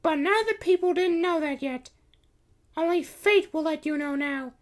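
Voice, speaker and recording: A man voicing a gentle, wistful magical cat princess in a high falsetto, with a distant sound. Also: moderate pace.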